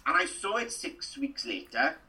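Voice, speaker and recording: A man's voice: sits high, wildly swooping pitch, moderate pace, sharp tone, far from the mic. A middle-aged Welsh man from Wales, an actor chatting animatedly in an interview and rambling through stories with lots of restarts. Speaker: actor and guest.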